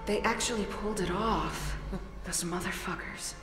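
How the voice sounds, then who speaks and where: A woman's voice: medium pitch, moderate pace, close to an everyday voice. A woman playing a blunt, foul-mouthed, commanding special agent on a mission in a video game cutscene. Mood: demanding, wary. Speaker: field operative. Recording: echoey hall reverb, music behind.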